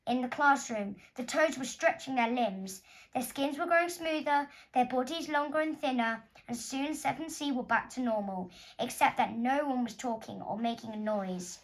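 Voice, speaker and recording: A woman with a fairly high voice reads a children's storybook aloud. She narrates expressively, like an author sharing her own tale with young listeners. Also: normal speed, poor audio. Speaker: author reading own book.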